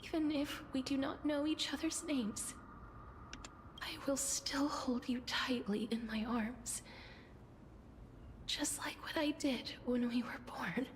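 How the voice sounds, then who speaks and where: Slow, high-voiced, tender young heroine. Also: female voice, steady tone, a little hiss or hum. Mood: earnest vow. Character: gentle, devoted young woman, solemn and tender. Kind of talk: video game voice acting. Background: game music.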